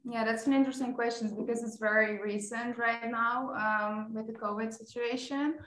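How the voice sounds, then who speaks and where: A woman's voice: on the high side, fairly even intonation, normal speed, fairly bright tone, roomy sound, poor audio. A woman in her twenties from the Netherlands, answering interview questions in a chatty way with many fillers and restarts. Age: 20s. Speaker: court interpreter guest.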